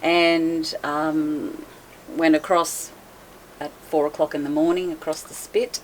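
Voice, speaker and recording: Low-pitched woman, chatty storyteller, faint background noise. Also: slow pace, rough recording. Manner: chatty, laughs often, lively retelling. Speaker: guest boat owner. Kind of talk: casual storytelling chat.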